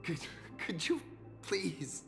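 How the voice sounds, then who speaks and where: A man's voice: high-pitched, sing-song, drawn-out words, creaky, soft background music, heavily acted. A man voicing an eager, starstruck young male fan in a video game, earnest and a little nervous. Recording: game audio with long reverb.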